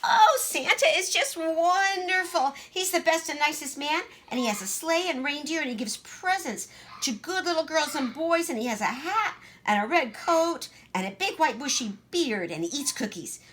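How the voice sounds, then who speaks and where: Chatty, boastful little girl, acted by a woman, high and very animated, for a children's story. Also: normal speed. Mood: gushing. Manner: gushing, emphatic, know-it-all.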